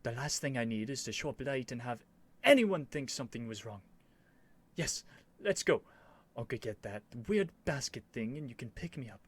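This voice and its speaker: A man playing an earnest, nervous young prince devoted to a dragon, in a halting, emotional ASMR roleplay addressed to the listener. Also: mid-range voice, sing-song, dry recording. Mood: giddy, overjoyed. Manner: halting, stammering, breathy sighs and whispers, emotional.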